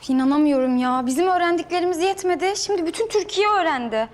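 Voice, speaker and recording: Squeaky exasperated young woman rattling on fast, a guy doing the voice. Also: animated.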